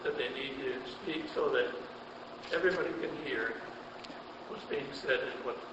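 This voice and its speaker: A man speaks up from the audience of a church class, offering a measured, earnest comment. He is far from the microphone, so the room echo and background noise are strong around his voice. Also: mid-range voice. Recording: distant, echoey and noisy in a hall.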